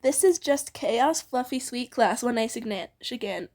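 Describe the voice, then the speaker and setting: High-voiced, giggly young woman reading aloud. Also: animated, measured pace, a little mellow. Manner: giggly, playful, casually sweary. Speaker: co-reader on a fan fiction reading channel. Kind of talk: informal fan fiction read-aloud with casual banter.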